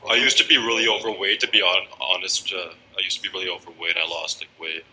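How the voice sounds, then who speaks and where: Very deep-voiced young man, bright and filler-heavy. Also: steady tone, slight background noise, poor audio. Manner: vain, filler-heavy, halting with lots of "like". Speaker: casting contestant. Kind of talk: archive TV interview and audition.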